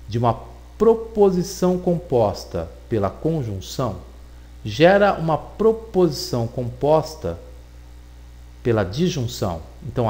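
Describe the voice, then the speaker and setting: A man giving a recorded logic lesson, explaining deliberately and repeating points for emphasis. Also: mid-range voice, darkish tone. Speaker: teacher.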